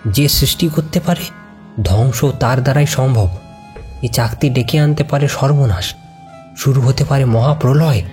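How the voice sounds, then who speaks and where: A man's voice: mid-range voice, normal speed, darkish tone, faint music behind, natural voice. A man playing a solemn local who gives dire warnings in an emphatic, ominous way, in a horror audio story.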